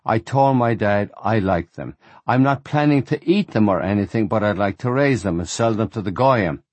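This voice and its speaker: A man's voice: medium pitch, a little mellow, no echo, natural voice. A man voicing a large, guileless teenage boy who talks earnestly and artlessly.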